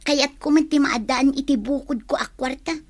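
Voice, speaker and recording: A woman's voice: high-pitched, normal speed. A woman acting a restless young daughter in an Ilocano audio drama, speaking in a plaintive, emotional way. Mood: determined, fed up. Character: young adult daughter, restless, determined to leave home.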